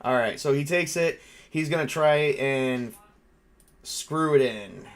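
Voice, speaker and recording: Male game master, mid-pitched, casual and animated storyteller for tabletop roleplay. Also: takes it slowly.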